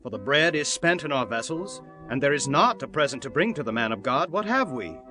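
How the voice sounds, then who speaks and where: A man's voice: mid-range voice, big pitch swings, brisk, over music, lightly acted. A man playing a dutiful, anxious young son in a dramatized scripture reading, with a concerned manner.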